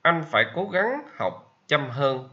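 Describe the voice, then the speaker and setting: A man with a Vietnamese accent, a native Vietnamese speaker, teaching English vocabulary and repeating each example sentence slowly. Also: fairly high pitch, very animated, muffled recording. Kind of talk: slow vocabulary lesson with repetition.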